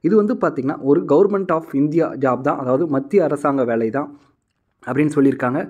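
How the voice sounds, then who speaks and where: Male explainer host, very quick delivery, warm dark tone, instructive and repetitive. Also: on the high side, lo-fi sound. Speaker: host of a job news channel. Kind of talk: informal explainer narration addressed to viewers.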